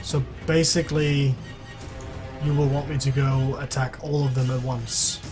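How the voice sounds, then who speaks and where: A man hosts a live game stream with casual, conversational commentary. His voice sits at a middle pitch, and music plays faintly underneath as he talks through his play. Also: level tone, unhurried. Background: background music.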